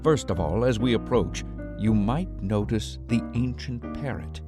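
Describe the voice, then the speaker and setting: A brooding storyteller, played by a man, narrates an old-time radio mystery in a low voice. The delivery is ominous and theatrical, with guitar music clearly playing underneath. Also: expressive, a little slow. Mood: descriptive. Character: brooding storyteller guiding listeners through a gloomy castle.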